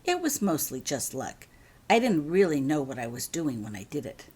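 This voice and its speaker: A woman's voice: low-pitched, big pitch swings, normal speed, darkish tone, husky, heavily acted. A woman voicing a polite, curious young schoolgirl in a story reading. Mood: puzzled.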